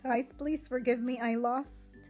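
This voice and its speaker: A woman's voice: high-pitched, fairly even intonation, unhurried, a little mellow. A middle-aged woman hosting a casual craft tutorial, chatting informally and directly to her viewers. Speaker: host of a craft channel. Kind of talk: casual DIY tutorial chat. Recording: roomy echo, faint music.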